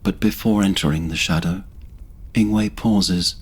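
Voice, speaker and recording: A man narrating a scripted fantasy audio drama in a measured, descriptive storytelling manner. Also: sits low, breathy edge.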